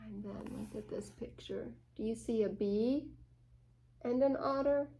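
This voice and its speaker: A woman's voice: a little high, a little slow, gravelly, mellow, a little hiss or hum, low-quality recording. A woman reading a picture book interactively to young children, asking questions and adding little playful asides. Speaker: children's story time reader.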